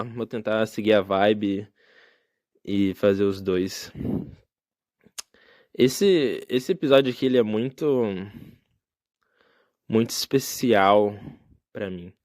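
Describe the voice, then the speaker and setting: A man's voice: fairly low pitch, a little mellow, no room sound, clean studio sound. A young man hosting a solo podcast, talking in a casual, reflective and rambling way with many fillers. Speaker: podcast host. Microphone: phone.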